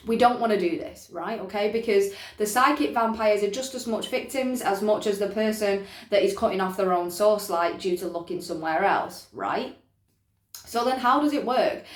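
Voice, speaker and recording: A woman talks informally in a roomy, echoing space, like a spiritual teacher addressing her followers. Her pitch sits in the middle for a woman. She is emphatic, circles back over each point and keeps checking that listeners are with her. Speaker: spiritual teacher presenting a self-help video. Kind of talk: informal explanatory talk to camera. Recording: echoey, roomy sound.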